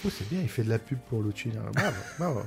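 A man's voice: medium pitch, animated, fast talker, gravelly, faint music behind. A man hosting an interview, chatty and friendly, with many fillers and hesitations. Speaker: host. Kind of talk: radio interview chat.

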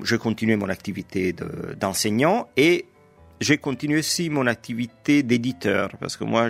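A middle-aged Italian man speaking French with an Italian accent, a guest in an interview who explains himself in a measured way with frequent hesitations. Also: lowish voice, creaky. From Italy. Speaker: guest, teacher and editor. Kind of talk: interview conversation.

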